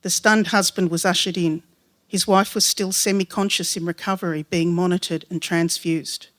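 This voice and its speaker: A woman's voice: fairly low pitch, steady tone, moderate pace. A woman reading a literary first-person story, giving voice to its dialogue. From Western Sydney, Australia. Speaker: writer reading own story aloud.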